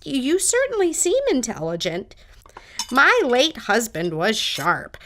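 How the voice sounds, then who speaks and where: Woman doing nosy older lady neighbor, fussy rambler, bright voice all over the place, audio play. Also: on the high side, wildly swooping pitch, slow, slight background noise, heavily acted. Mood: chatty, meddling. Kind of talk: audio drama dialogue.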